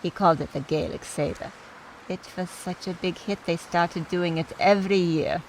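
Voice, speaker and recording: Low-voiced older aunt in mourning, acted by a woman. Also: steady tone, moderate pace, a little hiss or hum, natural voice, poor audio. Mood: wry, nostalgic. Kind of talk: radio play dialogue.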